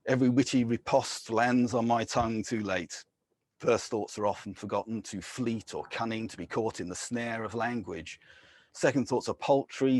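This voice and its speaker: An English man giving a measured poetry reading, with soft, hesitant asides between poems. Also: lowish voice, no room sound. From England. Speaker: poet.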